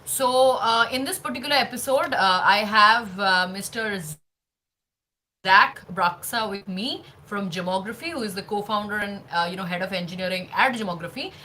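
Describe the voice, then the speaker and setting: A woman's voice: medium pitch, moderate pace, pushed and bright, slight background noise. A woman hosting an interview show in a conversational, wordy way, often padding her phrases.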